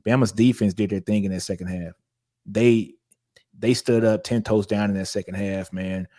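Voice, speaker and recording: A man from Louisiana, co-hosting a casual sports podcast in a relaxed storytelling way. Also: fairly low pitch, moderate pace. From Louisiana, USA. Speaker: podcast co-host.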